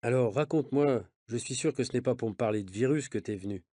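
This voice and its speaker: A man reading a novel's dialogue aloud for language learners, speaking in a measured, clear way. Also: mid-range voice, background noise. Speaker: audio reader of a learner's novel.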